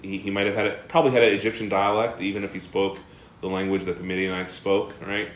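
A man leading a Bible study, explaining a passage with lively questions to the class and frequent fillers and restarts. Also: low-pitched, fast, reverberant, a little hiss or hum. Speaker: bible teacher. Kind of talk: interactive bible study teaching.

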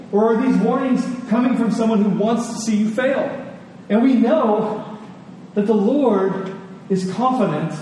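A male preacher delivers an earnest sermon in a fairly high, soft-edged mellow voice. He leans on key phrases and repeats them for emphasis. The sound is heavily reverberant, as if in a large hall. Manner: earnest, emphatic, with deliberate repetition for weight. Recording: echoey hall, distant, faint noise.

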